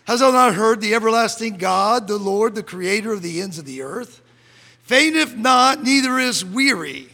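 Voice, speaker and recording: High-voiced fervent preacher man, gravelly edge. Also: animated, normal speed. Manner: fervent preaching, rising to shouts, reads scripture aloud. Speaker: pastor. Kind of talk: sermon. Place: church sanctuary. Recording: long church hall reverb.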